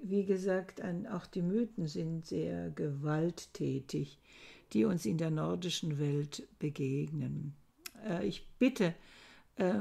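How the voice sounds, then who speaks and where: An older woman giving a recorded lecture from her book-lined study, explaining old verses slowly and thoughtfully, with many hesitations. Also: fairly low pitch, vocal fry, a little mellow, husky. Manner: slow, thoughtful, with frequent hesitations. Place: home study lined with bookshelves. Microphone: webcam at desk.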